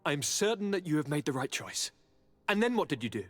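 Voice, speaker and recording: A man's voice: fairly high pitch, big pitch swings, fairly quick, a bit bright. An actor playing a charming, eager young nobleman governor in a video game, speaking in a courtly, theatrical and affectionate way. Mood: fascinated, eager. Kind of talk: dramatic video game voice acting. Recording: reverberant hall, music underneath.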